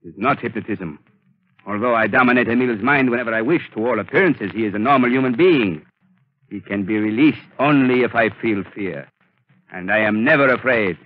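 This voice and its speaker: An actor in 1930s radio drama playing a scheming male villain who controls minds, speaking smoothly and theatrically. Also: medium pitch, a little fast, dry recording, close to an everyday voice. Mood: menacing. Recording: tinny old radio broadcast recording.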